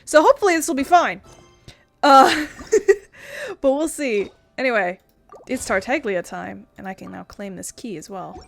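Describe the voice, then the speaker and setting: A woman's voice: a little high, expressive, measured pace, vocal fry, soft background music. A woman hosting a gaming video with casual commentary, informal, playful and sweary.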